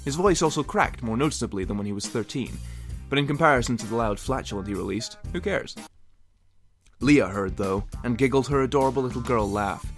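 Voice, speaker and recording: A man's voice: medium pitch, fairly quick. A man narrating comedic fan fiction in a mock-serious, theatrical way with wry asides. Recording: music behind the voice. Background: music.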